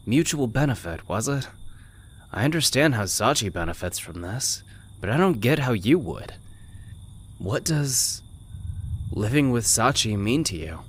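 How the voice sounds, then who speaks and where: An actor playing a nosy, teasing middle-aged smoker in an anime fan dub, with a wry, laid-back, probing manner. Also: male voice, medium pitch, sing-song, a little slow, husky. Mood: prying.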